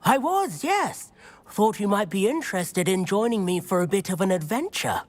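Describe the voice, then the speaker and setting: A woman's voice: mid-range voice, big pitch swings, moderate pace. A chirpy well-spoken british teen witch in a game, keen on adventure, voice goes up and down a lot. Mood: friendly, eager. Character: eager, bookish teenage witch schoolgirl seeking adventure. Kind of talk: video game cutscene acting.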